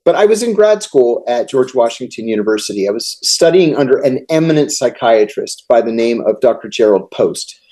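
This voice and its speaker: Mellow-voiced man telling stories. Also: mid-range voice, expressive. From United States. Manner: measured, anecdotal storyteller. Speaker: guest, former intelligence officer. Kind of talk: storytelling interview answers.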